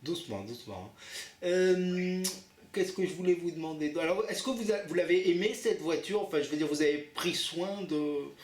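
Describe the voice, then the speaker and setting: A man's voice: a little high, animated, normal speed, darkish tone. Insistent man in an echoey room. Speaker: prank caller posing as a car buyer. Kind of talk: improvised prank phone call. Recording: roomy echo, faint noise.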